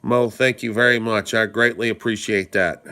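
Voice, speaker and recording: Hoarse, stuffed-up man live-streaming. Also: fairly low pitch, fairly even intonation, moderate pace, sharp tone. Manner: rambling, repeats himself, greets viewers by name. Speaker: livestream host. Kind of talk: casual live-stream commentary.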